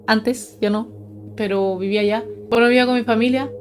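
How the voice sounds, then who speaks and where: A woman's voice: a little high, steady tone, fairly quick, soft background music. A young adult woman telling personal stories to her viewers in a casual, conversational, explanatory way. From Chile. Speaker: paranormal storytelling video host.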